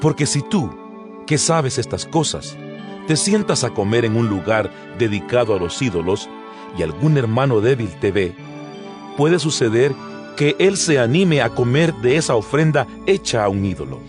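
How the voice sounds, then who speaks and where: Actor como apóstol serio, muy expresivo. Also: male voice, mid-range voice, expressive, natural voice. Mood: earnest, instructive. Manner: measured, emphatic, didactic. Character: earnest male apostle addressing his congregation. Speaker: narrator of an audio Bible. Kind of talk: dramatized scripture reading. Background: background music.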